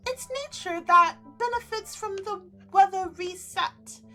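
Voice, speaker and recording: A woman's voice: very high-pitched, a little slow, sharp tone, soft background music, voice pushed up, heavily acted. Woman voicing a reserved, formal male winter spirit. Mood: calm, matter-of-fact.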